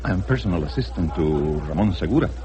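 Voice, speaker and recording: An actor playing a suave, formal personal assistant to a Mexican tycoon in a radio drama, speaking with precise courtesy. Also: male voice, sits low, animated, fast talker, mellow, faint music behind. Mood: smooth, persuasive. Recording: noisy old radio drama recording.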